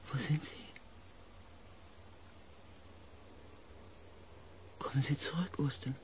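A woman playing an anxious, weary space expedition pilot, narrating a dramatic audio drama in a hushed, intense way. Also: low voice, measured pace, slight background noise. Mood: pleading. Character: anxious, weary expedition pilot recounting events, far future.

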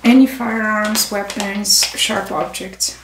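A young woman playing a stern, methodical airport security officer in a soft-spoken ASMR roleplay, deliberate and deadpan. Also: medium pitch, gravelly. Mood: stern, procedural. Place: small indoor room set. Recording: roomy, distant, noisy with clicks.

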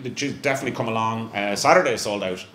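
A man's voice: on the low side, quick pace, crowd noise. Chatty middle-aged Irish man on stage. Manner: chatty, self-mocking, many restarts. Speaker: brewery representative, guest. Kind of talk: casual onstage chat. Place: small live venue room with stage. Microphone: handheld mic on stand. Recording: echoey room, faint hum.

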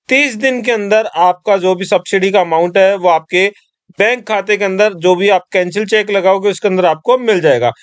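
A man with a high, clear voice walks the listener through an online application one step at a time. He keeps it instructive and calls his viewers friends. The sound is dry and close, recorded at a desk mic in a quiet room. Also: no room sound. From Rajasthan. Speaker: tutorial presenter. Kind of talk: screen-recorded tutorial voice-over.

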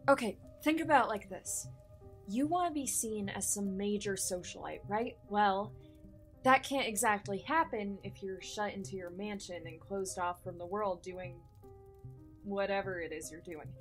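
A woman's voice: mid-range voice, normal speed, fairly bright tone, faint music behind, natural voice. A woman playing a bold, ambitious reporter in an audio drama, speaking directly and persuasively with confidence. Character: bold, shrewd, ambitious female entertainment reporter.

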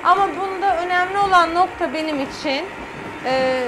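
A woman's voice: very high voice, moderate pace, background noise. A middle-aged woman, a guest chef on a studio cooking show, explaining each step as she works. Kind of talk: cooking demonstration. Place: TV studio kitchen set.

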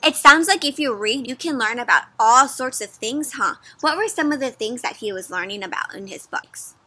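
Young woman reading a picture book to little kids, really high bright voice, sing-song, asks them lots of questions. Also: moderate pace. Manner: animated, sing-song, addressing children with questions. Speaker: children's storybook reader and host. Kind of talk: interactive read-aloud for young children. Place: outdoors in a leafy yard.

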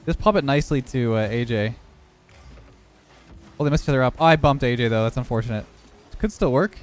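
A young man talking through his own matches in a relaxed, steady running commentary. His voice is hoarse and a little rough from having lost it earlier, sitting at an ordinary middle pitch. Faint game music plays under him. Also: a little fast. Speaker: gaming YouTuber. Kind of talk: live gameplay commentary.

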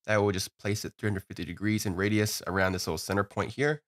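A man walking through a software tutorial in a relaxed, upbeat way, often filling gaps with "you know" and "uh". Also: lowish voice, quick pace. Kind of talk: screen-recorded software tutorial.

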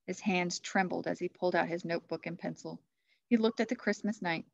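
A woman's voice: fairly low pitch, fairly even intonation, brisk, gravelly, no echo. Woman reading a storybook, muffled webcam sound. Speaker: teacher reading aloud. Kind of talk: expressive children's storybook narration. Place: classroom, indoors. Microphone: computer webcam at desk distance.

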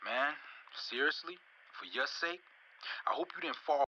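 A man's voice: fairly high pitch, a little slow, bright, forceful tone, muffled recording, a little hiss or hum. An actor playing a street-talking young man leaving casual voice messages.

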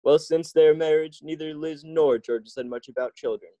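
A man's voice: on the high side, steady tone, normal speed, mellow, no echo, lo-fi sound. Male radio announcer, dry close sound. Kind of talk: scripted old-time radio announcing.

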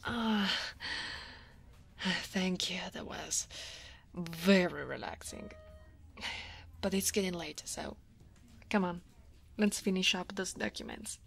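A woman from Italy voicing a guarded but teasing female dragon office senior in a soft, breathy ASMR roleplay. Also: fairly low pitch, slow, long pauses. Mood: relaxed, pleased, then flustered, playful. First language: Italian. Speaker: voice actor.

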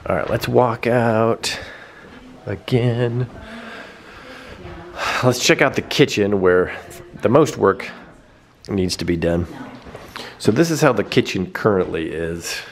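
A man in his thirties giving a quick, upbeat house tour and chatting at home. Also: fairly low pitch, lively intonation. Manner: quick, upbeat, rambling with restarts. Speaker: vlog co-host. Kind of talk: casual house tour and chat. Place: living room at home, indoors.

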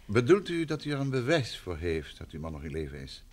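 An actor playing a polite, probing gentleman investigator in a vintage radio play, patient and attentive. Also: on the low side, sing-song. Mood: curious, attentive. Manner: polite, questioning, measured.

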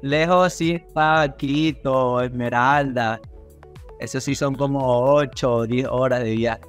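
A young man with a coastal Ecuadorian accent talks as a podcast guest. He has a medium-pitched voice and a casual, halting way of telling things, repeating words as he goes, with faint music in the background. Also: steady tone. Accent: Ecuadorian coastal Spanish. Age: young adult. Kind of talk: casual interview storytelling. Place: small indoor studio room on a video call.

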